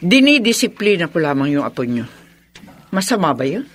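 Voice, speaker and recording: A woman's voice: on the low side, very animated, fairly quick. A woman playing a harsh, curt, haughty stepmother who scolds in a Filipino radio drama. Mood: haughty, defensive. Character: harsh, unreasonable stepmother and strict guardian aunt.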